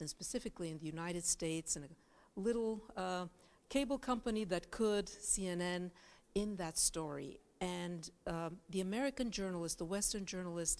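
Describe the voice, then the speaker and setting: A middle-aged woman from Bulgaria with a Bulgarian accent, a journalism professor and former news anchor, telling her career story to a class with frequent uh and um fillers. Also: lowish voice. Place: college classroom.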